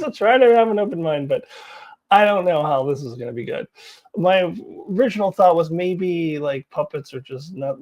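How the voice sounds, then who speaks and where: A woman's voice: sits low, darkish tone. A woman giving casual, opinionated commentary as a vlog host, with a wry, blunt, exasperated and giggly manner.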